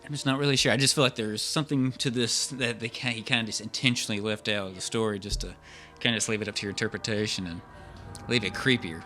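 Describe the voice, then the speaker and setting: Man, slightly low pitch, bright forceful tone, halting casual podcast talk, faint music behind. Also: fairly even intonation. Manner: halting, rambling. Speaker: podcast co-host. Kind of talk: casual chat.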